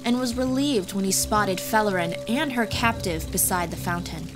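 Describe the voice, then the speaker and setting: A woman's voice: mid-range voice, normal speed. A woman reading scripted fantasy audiobook narration as the storyteller, with music behind her. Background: background music.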